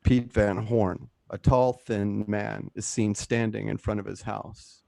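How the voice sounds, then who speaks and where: A man's voice: lowish voice, little pitch movement, takes it slowly, gravelly, warm tone, no room sound. A man reading scripted stage directions as the narrator of a radio play. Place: at home, close dry microphone.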